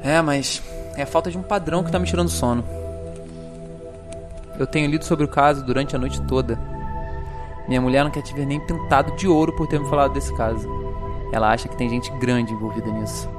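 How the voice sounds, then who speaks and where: Man playing an exasperated young Brazilian doctor, medium pitch. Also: fairly even intonation, fairly quick, music in the background, lightly acted. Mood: frustrated, earnest. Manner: dry, exasperated, precise. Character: dry, exasperated young male forensic doctor. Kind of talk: audio drama dialogue.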